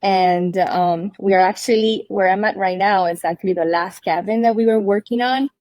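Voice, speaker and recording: A woman's voice: mid-range voice, fairly even intonation, normal speed, a little mellow. A woman from Cuba with a mid-range voice, talking casually as an interview guest from inside a cabin. She laughs easily and restarts sentences as she goes. The sound is dry and close, with little room echo. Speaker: guest, cabin rental owner. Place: inside a cabin under renovation.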